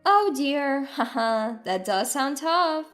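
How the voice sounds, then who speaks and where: A mocking, cutting ex-wife from a dramatized story, acted by a woman narrator. Her voice is high and swoops with indignation. Faint music sits underneath. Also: animated, takes it slowly, a bit bright, full character voice. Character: scornful, newly independent ex-wife.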